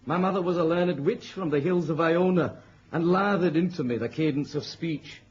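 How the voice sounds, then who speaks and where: An actor in a radio drama playing a gallant, flirtatious and wry Celtic knight with a courtly, lyrical way of talking. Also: male voice, fairly high pitch, normal speed, a little mellow, natural voice. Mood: wry, amused. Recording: muffled radio recording with hiss.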